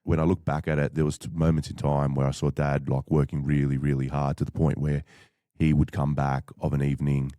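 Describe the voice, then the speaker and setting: A man with an extremely low, warm, mellow voice speaks as a podcast guest. He tells his story in a measured, reflective way, often pausing on fillers. The sound is dry and close, without room echo. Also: little pitch movement. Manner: measured, thoughtful, with frequent fillers.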